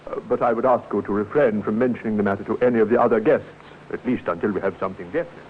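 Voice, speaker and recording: An actor playing a fussy, discreet English hotel manager in a 1950s radio drama, formal, soothing and condescending. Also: male voice, lowish voice, rapid-fire, lightly acted. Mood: placating, reluctant. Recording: muffled, slightly noisy old radio recording.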